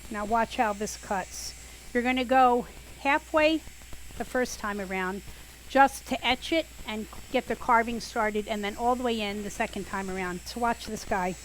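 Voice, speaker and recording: A woman with a US East Coast accent teaching a gourd craft class live to a small group, talking loudly and chattily. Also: a little high, normal speed, slight background noise, low-quality recording. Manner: loud, chatty, animated. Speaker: gourd art instructor. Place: workshop room with a small audience.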